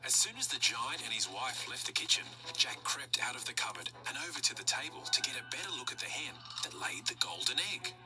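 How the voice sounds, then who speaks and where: Animated woman reading a children's story. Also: very deep voice, brisk, hardly any pauses, faint music behind, low-quality recording. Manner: animated, expressive narration.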